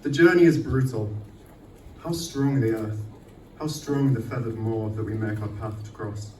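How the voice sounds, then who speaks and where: A man's voice: on the low side, moderate pace, a little mellow, distant mic. A male poet at a live poetry reading in an echoey venue, picked up some way from the microphone. His manner is self-deprecating and sweary, and there is faint noise in the recording. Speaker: poet performing own work. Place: indoor arts night venue, live event.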